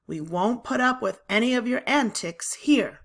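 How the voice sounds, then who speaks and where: A woman's voice: fairly high pitch, expressive, moderate pace, gravelly, pitched above the performer's own voice. A woman voicing a stern male school principal in a storybook, firm and authoritative. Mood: stern warning.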